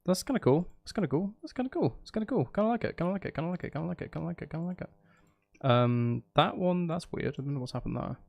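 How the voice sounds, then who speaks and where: A man's voice: on the high side, expressive, quick pace, creaky. A man streaming casually, thinking aloud and repeating phrases to himself as he works. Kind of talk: casual live-stream commentary while making music. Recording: loud music behind the voice. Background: music being composed, guitar and instruments.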